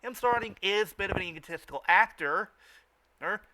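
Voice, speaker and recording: A man with a fairly high, very bright and forceful voice hosts casual fan commentary. He stammers often, circling back to repeat phrases before finishing a thought. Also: fairly quick, poor audio. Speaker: host of a fan riffing show.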